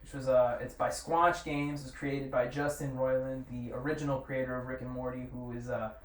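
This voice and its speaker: A man's voice: mid-range voice, level tone, brisk. A man hosting a casual group chat, talking in a crude, opinionated way with plenty of swearing. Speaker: podcast host. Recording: echoey room, distant mic, faint noise.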